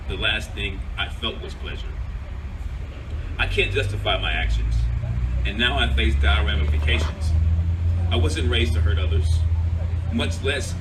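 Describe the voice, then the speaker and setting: A man speaking earnestly and in a measured way as he presents and reads poetry at a live event. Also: on the low side. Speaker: poetry reader, radio host. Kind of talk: spoken poetry reading with informal introductions. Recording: distant mic, clear background noise.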